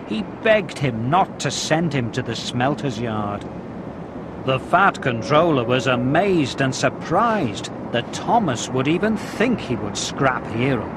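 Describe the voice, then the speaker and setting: A man's voice: mid-range voice, background noise. A man reading a scripted children's story as narrator, speaking directly to young listeners. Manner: addresses young listeners with questions and countdowns. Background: music.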